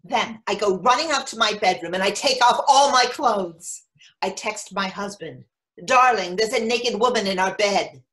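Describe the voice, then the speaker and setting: A woman's voice: mid-range voice, moderate pace, a bit bright, close to an everyday voice, low-quality recording. A woman playing a cheeky, carefree mother, telling her story playfully and dryly in a staged reading.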